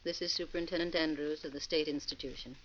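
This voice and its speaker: An actress playing a brisk, formal hospital nurse in a 1940s radio drama. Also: female voice, low-pitched, fairly even intonation, lightly acted. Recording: dull, faintly noisy vintage radio recording.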